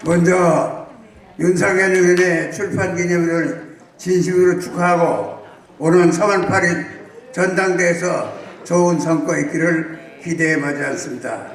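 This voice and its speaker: A man's voice: on the high side, fairly even intonation, unhurried. A man in his nineties from South Chungcheong giving a formal congratulatory speech and lecturing with rhetorical questions. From South Chungcheong, Korea. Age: 90s. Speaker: guest speaker. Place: large banquet hall. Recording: echoey hall, distant mic.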